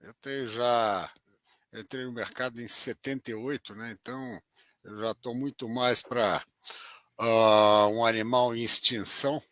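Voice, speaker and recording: Bright-voiced older man, dry close sound. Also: mid-range voice, fairly even intonation, measured pace, no echo. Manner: jokey, self-deprecating. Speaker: guest, market veteran. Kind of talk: casual podcast chat.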